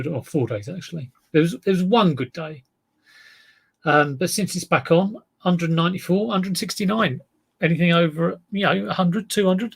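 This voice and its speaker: A man with a relaxed South East London drawl chats loosely to his viewers, wandering from point to point. His voice sits a touch high for a man and carries plenty of gravelly fry. The tone is slightly husky rather than clean. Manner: rambling, chatty, reads out viewer comments. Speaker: eBay reseller livestream host. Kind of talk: casual live stream chat with viewers.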